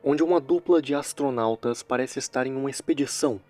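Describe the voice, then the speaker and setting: A man's voice: mid-range voice, a little fast, warm tone. Brazilian man narrating over music. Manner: wry, joking asides. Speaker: narrator of a horror series recap video. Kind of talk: casual storytelling commentary.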